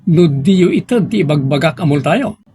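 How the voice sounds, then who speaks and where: A man plays a stern, arrogant municipal engineer in a radio drama. He is curt and domineering, in a highish, animated voice with a husky edge. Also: fairly quick, heavily acted. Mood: domineering, angry. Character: arrogant, stern male municipal engineer abusing his authority.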